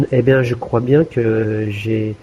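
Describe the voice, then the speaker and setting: A man chatting casually about learning a language, hesitant, with many restarts and fillers and the odd throat clearing. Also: mid-range voice, fairly even intonation, slow pace, lo-fi sound. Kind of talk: casual conversation.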